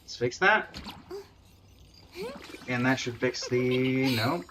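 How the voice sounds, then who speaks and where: A man's voice: mid-range voice, slow pace, background noise. A man giving casual live game stream commentary, often muttering to himself as he goes. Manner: muttering to himself while troubleshooting. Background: game music.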